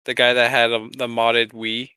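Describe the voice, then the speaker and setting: A man with a bright, crisp voice chats casually as a podcast co-host. The recording is dry and close, and his delivery is conversational and joking. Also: mid-range voice, completely flat delivery, moderate pace, no room sound. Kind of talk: casual banter.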